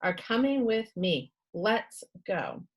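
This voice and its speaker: A woman voicing a brisk, calm, commanding female school nurse in a children's storybook. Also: medium pitch, heavily acted. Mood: firm, urgent.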